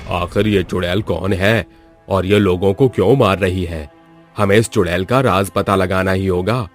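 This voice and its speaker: Horror-story villager, male actor: lower register, earnest, determined, explanatory, music underneath. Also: fairly even intonation, moderate pace.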